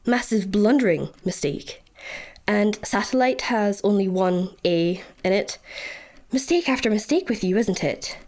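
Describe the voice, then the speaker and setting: An actress playing an officious, withering job centre interviewer in a radio comedy, with a pompous, formal, deadpan delivery. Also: female voice, mid-range voice, a little slow, lightly acted. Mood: scornful. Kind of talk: radio sci-fi comedy acting.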